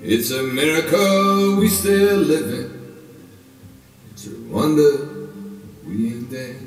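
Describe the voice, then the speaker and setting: A man's voice: a little high, animated, slow. A man singing a folk blues song to plucked guitar. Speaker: singer. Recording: distant, heavily echoing, music alongside.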